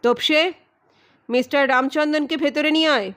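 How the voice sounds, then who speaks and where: Woman reading as sardonic, high-voiced male detective. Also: steady tone, a bit bright. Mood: commanding. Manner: deliberate, accusing, authoritative. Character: commanding, sardonic male private detective confronting a culprit.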